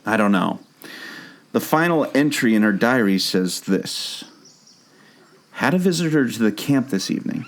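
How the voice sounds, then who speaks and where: A man's voice: medium pitch, animated, normal speed, long pauses, natural voice. A man playing an earnest, obsessive amateur sleuth and former jungle skipper in a scripted audio drama. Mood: reading aloud. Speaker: podcast co-host.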